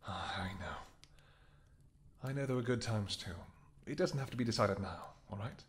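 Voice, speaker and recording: A man's voice: fairly low pitch, lively intonation, measured pace, slightly rough. A man playing a devoted royal vampire king in an audio roleplay, tender with his wife and commanding with his soldiers.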